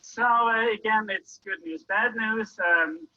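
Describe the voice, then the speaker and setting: Guy co-hosting a workshop, bright husky voice, kinda muffled recording. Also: high voice, takes it slowly. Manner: explanatory, giving verdicts to the audience. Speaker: workshop co-presenter. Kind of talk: interactive presentation to an audience.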